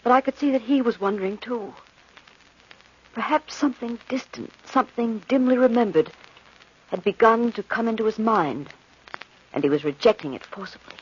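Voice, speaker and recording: A woman's voice: fairly high pitch, moderate pace, pauses often, husky. An actress playing an anxious, devoted young woman full of dread, earnest and melodramatic in old radio horror style. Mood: hushed dread. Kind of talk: old-time radio horror acting and narration. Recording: muffled old radio recording.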